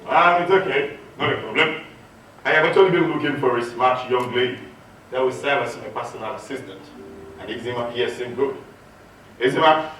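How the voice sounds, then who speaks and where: Very echoey distant stage recording, actor as magnanimous gentleman, mid-pitched, emphatic, reassuring, theatrical. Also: slight background noise, close to an everyday voice. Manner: emphatic, reassuring, projected delivery. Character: generous, important gentleman, benefactor of beggars. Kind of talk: theatrical one-man stage acting. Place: hotel hall stage.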